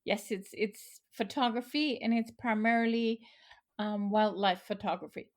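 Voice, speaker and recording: A woman's voice: medium pitch, fairly even intonation, a little slow. A middle-aged woman from Austria, a photographer answering interview questions earnestly, with fillers and restarts. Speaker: photographer, guest.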